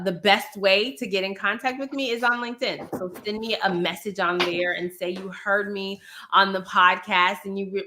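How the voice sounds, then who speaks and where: A woman's voice: mid-range voice, sharp tone. A woman answering as a podcast guest in a conversational, emphatic way, often speaking in short repeated phrases. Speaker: podcast guest, entrepreneur and sales expert.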